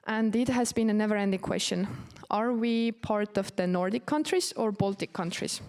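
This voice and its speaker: A woman from Estonia, a native Estonian speaker with an Estonian accent, gives an informal orientation presentation to new students in a casual, hesitant way with frequent pauses. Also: mid-range voice, darkish tone.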